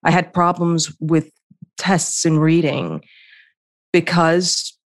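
Slow, blunt New woman. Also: sits low, steady tone, no echo, clean studio sound. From the Bronx, New York. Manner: blunt, candid, plainspoken. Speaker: guest, history professor. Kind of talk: casual interview talk. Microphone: remote call.

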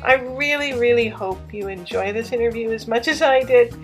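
A woman's voice: on the high side, normal speed, faint music behind. A woman hosting a podcast, introducing guests and chatting with bubbly enthusiasm. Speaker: podcast host. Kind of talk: podcast interview.

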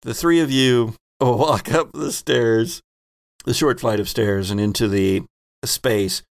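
A man acting as game master, narrating a tabletop role-playing scene in a halting, deliberate way. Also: medium pitch, vocal fry.

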